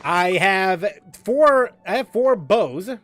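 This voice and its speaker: A man's voice: high voice, lively intonation, slow pace, fairly bright tone, soft background music. A man casually chatting while streaming a game, rambling with lots of fillers and restarts as he reads chat aloud.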